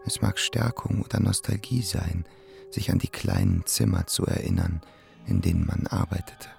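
An actor playing a quiet young poet and bricklayer, gentle and brooding, in a German radio play. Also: male voice, low-pitched, steady tone, normal speed, creaky, faint music behind. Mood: pensive. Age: young adult.

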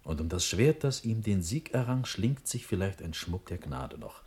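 An actor playing an impulsive, trusting young Prussian prince in a classical verse radio play, with declamatory, impassioned delivery that moves from urgent to hushed. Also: male voice, low-pitched, sing-song, moderate pace, slight background noise. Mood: fervently trusting.

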